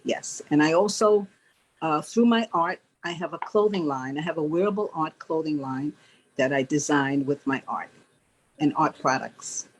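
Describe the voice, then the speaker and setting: A woman with a deep voice speaks as an interview guest. Her answers are casual and plainspoken, laid out in a measured way. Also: low-quality recording. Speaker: interview guest, stage manager.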